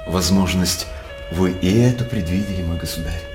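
An actor playing a sly, cynical court jester and barber, speaking insinuating verse in a theatrical radio drama. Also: male voice, sits low, normal speed, music in the background, lightly acted. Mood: sly needling.

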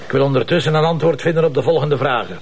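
A man with a Flemish accent playing a brusque, impatient police commissioner in a crime drama, brisk and bossy. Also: fairly high pitch, husky. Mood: businesslike. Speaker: actor.

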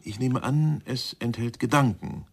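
An actor playing a police inspector in a German radio crime drama, questioning in a measured, dryly polite but insinuating way. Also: male voice, medium pitch, gravelly.

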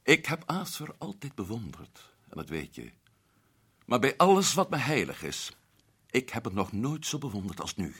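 A man's voice: medium pitch, very animated, moderate pace, a bit bright, slightly rough. An actor playing an adventurous man back from Africa, telling his story earnestly and urgently in a radio drama. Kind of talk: 1960s radio drama acting.

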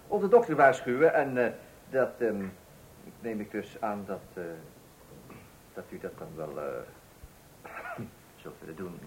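A man's voice: mid-range voice, a little hiss or hum, natural voice, low-quality recording. A man playing a flustered, dithering husband in a comic radio play, hesitant, stammering and repeating himself. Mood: nervous politeness. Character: flustered, dithering husband anxious about running the household.

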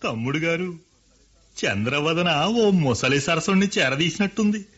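A sly older brother who gossips and schemes. His voice is husky and a bit high. It has the dull sound of an old broadcast. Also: expressive, a little slow, a bit bright, full character voice. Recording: dull lo-fi radio recording.

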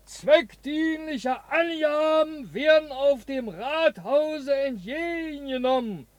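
An actor playing a pompous Prussian official, stiffly declaiming a formal public notice in a radio play. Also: male voice, very high voice, takes it slowly, no echo. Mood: officious.

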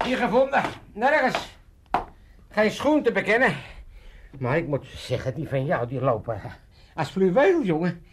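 An actor playing an excitable, self-important older father in a comic radio play, rambling and stammering pompously. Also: sits high, unhurried, noisy background. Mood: cheerful, teasing. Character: excitable self-important old Amsterdam father, dreaming of politics.

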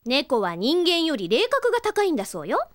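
An actress playing an excitable, carefree young woman who pilots a courier rocket, with a breezy, exclamatory manner in an audio drama. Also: high voice, normal speed. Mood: breezy, happy. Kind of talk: Japanese audio drama acting. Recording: studio drama with music behind.

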